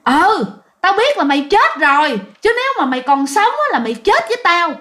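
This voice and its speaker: A woman's voice: very high voice, sing-song, fairly quick, pushed and bright, pitched above the performer's own voice, exaggerated voice. A woman with a Southern Vietnamese accent voicing a rowdy, hot-tempered uncle who loves drinking, in brash, colloquial, repetitive speech for a spoken story. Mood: angry, scolding.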